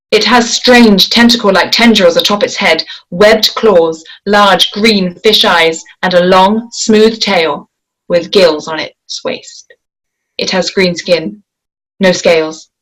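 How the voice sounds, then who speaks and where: A woman's voice: fairly low pitch, a bit bright, low-quality recording. A woman reading stage directions as the narrator at a table read, in scripted narration.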